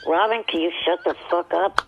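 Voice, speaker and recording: A man's voice: high-pitched, brisk, creaky. A man on the telephone, answering in a curt, irritable, complaining way during a grudging casual conversation. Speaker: show regular phoning in. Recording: noisy thin telephone line.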